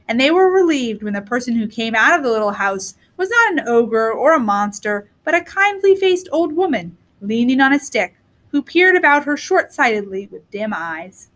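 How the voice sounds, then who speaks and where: A woman reading a children's story aloud in an expressive storytelling style. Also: a little high, moderate pace. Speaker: storybook reader.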